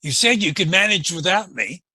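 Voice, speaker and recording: A man's voice: high voice, expressive, moderate pace, sharp tone, breathy edge, no room sound, exaggerated voice. A man playing a meek, folksy assistant to an Old West marshal in a radio western, with a hesitant, stammering, deferential delivery. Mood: wounded, humble. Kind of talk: old-time western radio drama acting.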